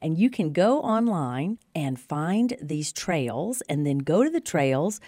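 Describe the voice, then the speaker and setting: A woman with a notably low voice presents community events on the radio, recorded very dry and close. She is conversational and informative, stressing her points like someone promoting them. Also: big pitch swings, slow, mellow, dry recording.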